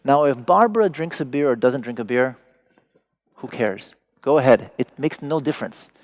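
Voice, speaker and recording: A man's voice: medium pitch, lively intonation, moderate pace. A man, a native Persian speaker, giving a talk to an audience, wry and digressive with joking asides. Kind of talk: lecture to an audience.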